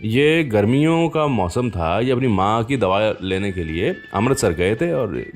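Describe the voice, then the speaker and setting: Low-voiced Indian male radio host over music. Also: lively intonation, unhurried. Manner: chatty, anecdotal, addresses listeners directly. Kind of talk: conversational storytelling presentation of film music. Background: background music.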